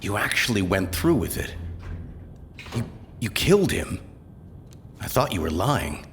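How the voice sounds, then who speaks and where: An actor playing a dismayed, dutiful officer in an audio drama, speaking plainly and sombrely. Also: male voice, on the low side, sing-song, unhurried, background noise. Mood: dismayed, resigned. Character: dismayed, dutiful male officer making an arrest.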